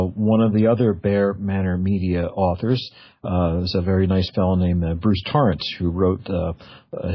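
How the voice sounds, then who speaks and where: Male radio interviewer, deep-ish and husky, casual and meandering, backs up and restarts mid-thought. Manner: rambling, halting, self-correcting. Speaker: radio show host. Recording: slightly dull, lo-fi audio.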